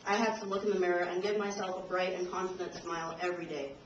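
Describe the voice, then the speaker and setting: A young woman reads her prepared speech to a university audience, slightly nervous and breaking into laughs now and then. She is caught from far off by a camcorder in a roomy lecture hall. The sound is dull and carries a steady hiss. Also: fairly low pitch, quick pace. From Trinidad and Tobago. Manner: a little nervous, laughs between lines. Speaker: invited speaker. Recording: roomy, distant, dull camcorder audio with noise.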